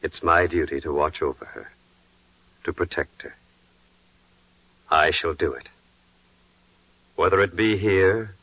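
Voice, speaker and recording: Grave biblical protector, extremely deep, husky, forceful, resolute, old radio drama, dry muffled recording. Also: male voice, no echo, exaggerated voice. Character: solemn, dutiful Joseph, resolute biblical protector. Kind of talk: 1940s radio drama acting.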